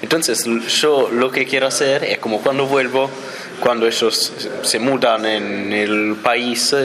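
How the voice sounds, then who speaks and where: A man's voice: medium pitch, steady tone, a little slow, a crowd in the background, poor audio. A young man from Italy, a native Italian speaker, answering interview questions hesitantly and searching for words. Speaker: exchange student guest.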